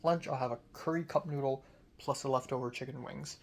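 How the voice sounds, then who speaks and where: A man's voice: medium pitch, steady tone, fairly quick. A young man from Hong Kong with an American accent, talking casually in a video diary, rambling with lots of fillers and the odd swear. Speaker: vlogger.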